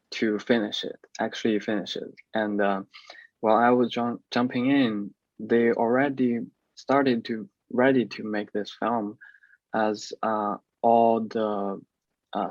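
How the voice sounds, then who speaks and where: A man, a film producer, speaking halting, non-native English in a casual video interview. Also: mid-range voice, no echo, highs cut off.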